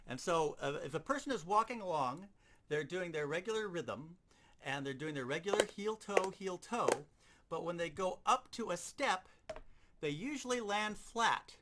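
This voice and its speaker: A man with a high-pitched, very animated voice gives a hands-on lesson. He explains each step informally as an instructor would. Loud noise and clatter sit behind his voice. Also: normal speed. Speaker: sound effects instructor. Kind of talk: instructional demonstration talk.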